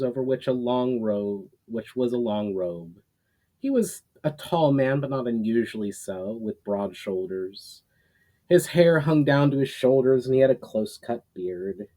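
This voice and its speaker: A man who is an author reading his own fantasy writing aloud in a storytelling style, a little halting in delivery. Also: mid-range voice, mellow. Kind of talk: casual intro, then dramatic storytelling read aloud.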